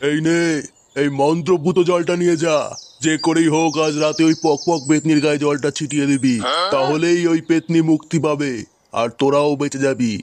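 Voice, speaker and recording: Guy doing a grandiose cartoon guru chanting silly mantras, kinda high voice, noisy recording. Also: fairly even intonation, fairly quick, darkish tone, lightly acted. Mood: solemn, mystical. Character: pompous male village holy man chanting mock mantras. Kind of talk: comic cartoon voice acting. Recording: clearly noisy home-made cartoon audio.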